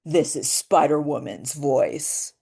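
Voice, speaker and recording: A woman voicing an ancient, wise female ancestor spirit in a deep, rich, full-bodied voice, slow and solemn, sent to the back of the throat. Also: gravelly, warm tone, slightly rough, full character voice. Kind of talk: storytelling play narration.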